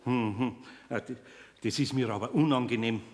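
A man's voice: medium pitch, moderate pace, no room sound, natural voice. An actor playing a worried, good-hearted older craftsman with a Bavarian accent, grumbling and flustered in a radio play. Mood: sheepish. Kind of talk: German children's radio play acting.

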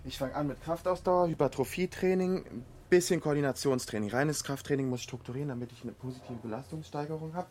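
A man's voice: on the high side, quick pace, a little mellow, close to an everyday voice. An actor playing a driven male athlete who methodically talks himself through a training diary in a radio drama. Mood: focused, determined. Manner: methodical, self-instructing.

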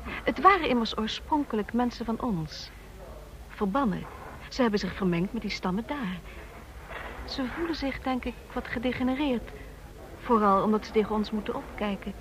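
An actress playing a thoughtful, intuitive office colleague, earnest and a little hesitant, in a radio play. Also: female voice, fairly high pitch, animated, normal speed, lightly acted. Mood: thoughtful, opinionated. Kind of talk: Dutch radio play acting. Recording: noisy old radio play recording.

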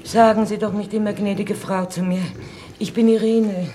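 An actress playing a blunt, unsentimental nurse who reluctantly speaks for a government, curt and matter-of-fact in a 1950s radio play. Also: female voice, mid-range voice, fairly even intonation, moderate pace, dark tone, close to an everyday voice. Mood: brusque, unimpressed. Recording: noisy 1950s radio recording.

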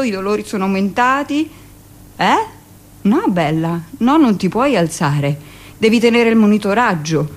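A seasoned, middle-aged midwife played by an actress tells her story in a radio play monologue. She is brisk and hard, self-justifying and emphatic, with colloquial turns. Her female voice sits at a medium pitch. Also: close to an everyday voice. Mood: brisk, patronizing.

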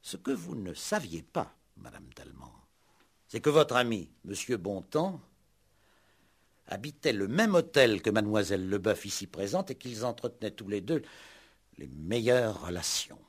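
An actor playing a shrewd, ironic police commissioner in a 1960s French radio play, speaking with deliberate, mock-courteous drama. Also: male voice, lowish voice, big pitch swings, husky, heavily acted. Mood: stern, revealing. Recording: old 1960s radio recording.

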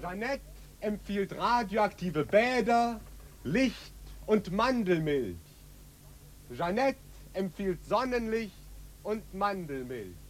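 A woman playing a serene beautician in a 1950s German radio drama, reciting advice deliberately like an announcement. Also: mid-range voice, animated.

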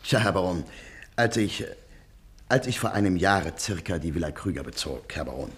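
An actor in a German radio play, playing an obsequious, shifty informer with a clipped, deferential manner. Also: male voice, deep voice, animated, moderate pace, fairly bright tone. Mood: ingratiating. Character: obsequious one-eyed male informer, eager and shifty.

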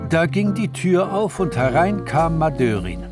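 A man's voice: on the high side, very animated, a little slow, over music. A man narrating a crime story for a radio drama in a scripted, storytelling style.

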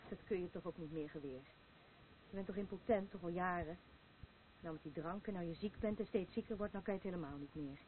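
A woman's voice: fairly low pitch, fast, close to an everyday voice. An actress playing a weary, matter-of-fact wife who soothes and nags her husband in a radio play. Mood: blunt, scolding. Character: weary, blunt wife soothing and scolding drunken old husband. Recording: muffled, noisy lo-fi radio play, distant.

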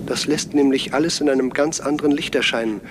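An actor playing a troubled, resolute scout in a radio play, speaking in a brooding, guarded, insistent way. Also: male voice, medium pitch, fast, close to an everyday voice. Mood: urgent, earnest. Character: troubled, resolute male scout reporting from forbidden island. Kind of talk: German radio play acting. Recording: 1970s radio drama, noisy outdoor ambience.

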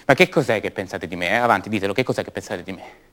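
An actor playing an anguished young son of a disappeared mother in a radio drama monologue, halting and repeating words with intensity. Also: fairly low pitch, fairly bright tone, natural voice. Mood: bitter, pleading. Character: anguished young son of a disappeared woman, raised by her captors.

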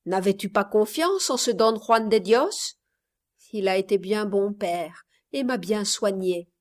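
A frail dying girl speaking in a soft, quiet, resigned voice, as a woman reads her lines. She talks slowly and gently in a storybook reading. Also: mid-range voice, lively intonation, lightly acted.

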